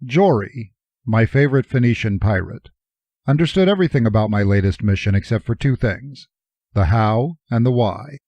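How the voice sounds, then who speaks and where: A man's voice: medium pitch, expressive, moderate pace, no echo, dull audio. A man reading a first-person novel as an audiobook narrator, with a wry, dryly humorous delivery.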